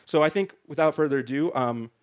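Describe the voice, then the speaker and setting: A man's voice: fairly high pitch, fairly quick. A man moderating a panel discussion on stage in front of an audience, talking into a handheld mic in a conversational way, often asking "right?" and restarting his sentences. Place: large hall stage before an audience.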